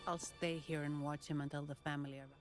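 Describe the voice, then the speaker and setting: Actress playing dutiful fantasy game heroine, female, lower-pitched, composed, serious, measured delivery, music underneath. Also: flat delivery, close to an everyday voice. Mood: serious, resolute. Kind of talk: game voice acting.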